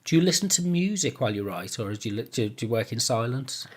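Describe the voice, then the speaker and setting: A man's voice: mid-range voice, expressive, fast talker. A man hosting a casual podcast chat, speaking in a rambling, tentative way and often repeating his phrases. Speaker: podcast host.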